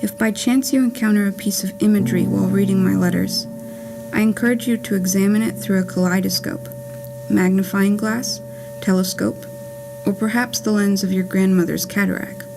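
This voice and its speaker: Woman reading as a wry, creaky-voiced letter writer. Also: medium pitch, steady tone, moderate pace, close to an everyday voice, low-quality recording. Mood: wry, wistful. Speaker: writer and narrator reading her play. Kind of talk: scripted poetic monologue, letter read aloud. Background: music.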